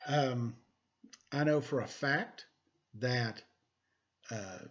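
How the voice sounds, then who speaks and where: A man's voice: medium pitch, very slow, soft background music. A middle-aged man reading a children's story aloud and talking plainly to his young audience. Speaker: story reader, teacher. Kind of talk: animated children's picture book read-aloud, then casual address. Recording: dull lo-fi sound.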